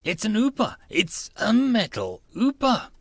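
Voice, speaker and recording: A man voicing a cheerful, childlike high school girl who is sweet and eager, in a visual novel reading. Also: wildly swooping pitch, unhurried, gravelly, breathy edge, dry recording, full character voice. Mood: excited.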